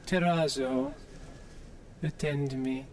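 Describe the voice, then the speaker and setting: A man's voice: fairly high pitch, sing-song, takes it slowly, slight background noise. A man playing an imperious godlike being in an audio drama, solemn and commanding. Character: imperious godlike male being possessing a human vessel.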